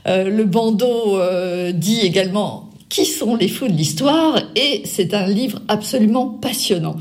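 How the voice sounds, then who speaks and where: A woman's voice: medium pitch, measured pace, a little mellow. An older woman interviewing an author about her novel, speaking hesitantly with many fillers and restarts. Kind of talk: radio book interview.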